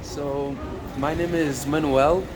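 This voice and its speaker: A man in his twenties from Portugal, answering interview questions in a measured, hesitant way. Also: on the high side, steady tone. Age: 20s. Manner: measured, hesitant, with many ums. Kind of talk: street interview. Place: city street outdoors with traffic. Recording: loud street noise.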